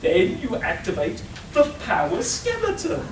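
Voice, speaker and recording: A woman's voice: high-pitched, huge pitch swings, measured pace, full character voice. Woman acting vain robot tailor, pompous, wounded, melodramatic, radio play, distant echoey recording. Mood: exasperated. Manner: pompous, melodramatic, self-pitying. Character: vain, exasperated clockwork machine tailor, theatrically wounded artist. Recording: echoey hall, distant mic, faint noise.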